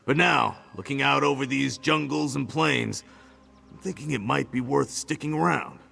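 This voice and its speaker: An actor voicing a gruff male orc mercenary in a video game, talking in a rough, wry, offhand way. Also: medium pitch, normal speed, pushed and bright, faint music behind. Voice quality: gravelly. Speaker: game character.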